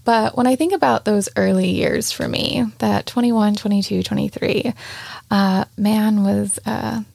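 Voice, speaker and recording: A woman's voice: mid-range voice, unhurried, creaky, no room sound. A woman in her thirties with a Southern US accent hosting her own podcast, talking earnestly and chattily in a solo monologue. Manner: warm, earnest, chatty with frequent y'all. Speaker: podcast host.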